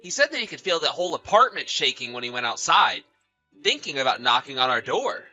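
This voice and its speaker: A man's voice: mid-range voice, very animated, brisk, sharp tone, muffled recording, faint music behind. A man acting a betrayed husband in a dramatized story, polite but suspicious and accusing, with a theatrical, emphatic delivery. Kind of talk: dramatized text-message story narration.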